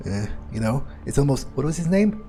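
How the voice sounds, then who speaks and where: A man's voice: medium pitch, sing-song, brisk, dark tone. A man giving in-character gameplay commentary, musing aloud in a sardonic manner. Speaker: gamer playing in character. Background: game music.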